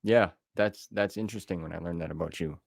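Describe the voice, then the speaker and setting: Low-voiced man chatting casually, close and dry. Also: quick pace, gravelly, no echo. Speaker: podcast host. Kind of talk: casual chat.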